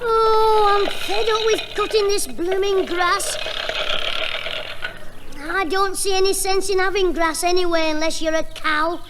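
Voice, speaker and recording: A cheeky high-voiced schoolboy. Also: male voice, steady tone. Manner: cheeky, quick wisecracking. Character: cheeky scheming young schoolboy. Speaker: comic lead. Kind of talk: 1960s radio comedy acting. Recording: hissy old radio recording with audience.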